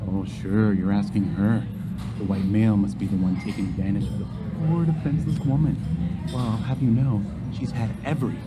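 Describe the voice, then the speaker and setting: Scruffy, blustering insomniac musician, played by a male actor: deep-voiced, sarcastic, wary, over noisy public ambience, audio drama. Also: a little fast, close to an everyday voice. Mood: suspicious, sarcastic. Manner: sarcastic, blustering, hesitant when pressed. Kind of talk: naturalistic audio drama dialogue.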